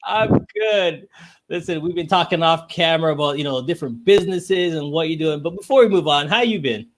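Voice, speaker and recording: A man with a high-pitched voice hosting a podcast, upbeat and energetic as he greets his audience. He talks to his guest in a loose, casual way. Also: fairly quick. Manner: energetic, hyped-up welcome. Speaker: podcast host. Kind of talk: upbeat interview chat.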